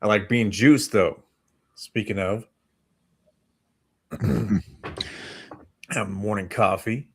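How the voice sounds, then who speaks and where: An American man with a fairly low voice talks as co-host of a casual morning podcast. His manner is easygoing and conversational. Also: extremely slow, long pauses. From United States. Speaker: talk show co-host.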